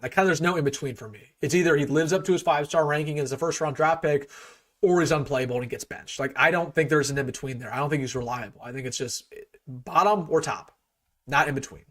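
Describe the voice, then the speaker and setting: Young man, rapid talker, slightly raised pitch, live stream host, blunt opinions, voice getting tired. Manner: rapid, opinionated, frequent restarts and fillers. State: losing his voice a little. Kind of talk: casual live-stream sports talk.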